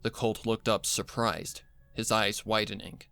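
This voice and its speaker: A man's voice: on the low side, level tone, unhurried, a bit bright, clear, resonant tone. A man reading a scripted horror story as narrator, with music playing behind him. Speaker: fan fiction narrator.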